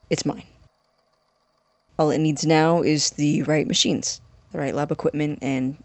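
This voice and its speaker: Actor doing young secretive science student, eager n earnest, kinda halting, audio drama, dry sound. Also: mid-range voice, no room sound. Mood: hesitant, excited.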